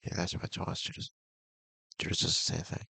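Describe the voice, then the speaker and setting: A man's voice: fast talker, bright, forceful tone, no room sound. A man hosting a visual novel playthrough, reading the game's text aloud and dropping in casual, muttered reactions. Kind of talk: reading game dialogue aloud with asides.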